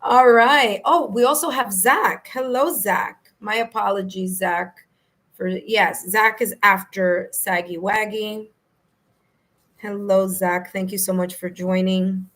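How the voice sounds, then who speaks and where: Raspy-voiced New York woman streaming. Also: mid-range voice, steady tone, slow pace, fairly bright tone. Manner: friendly, chatty, laughs easily. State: slightly hoarse, raspy voice. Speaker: tarot reader hosting a live stream. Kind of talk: casual live-stream chat, greeting viewers.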